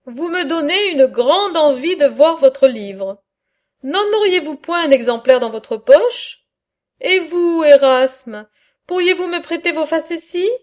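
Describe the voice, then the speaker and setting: A wry ancient satirist questions his companions in a high, slow voice, as a woman reads him. The delivery stays measured and earnest throughout. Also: expressive. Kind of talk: reading a philosophical dialogue aloud.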